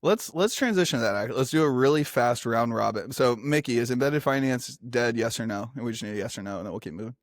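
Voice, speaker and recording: A man moderating a casual industry panel, energetic and enthusiastic, with lots of filler words. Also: mid-range voice, fast, few pauses, no echo, clean studio sound.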